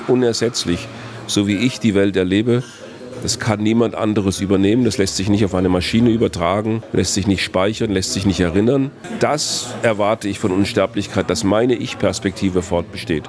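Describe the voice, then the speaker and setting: Older guy, philosophy prof, lowish voice, slow careful reasoning in long sentences. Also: darkish tone. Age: 60s. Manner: measured, reflective, builds long reasoned sentences. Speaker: philosophy professor, interviewee. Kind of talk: thoughtful interview answers.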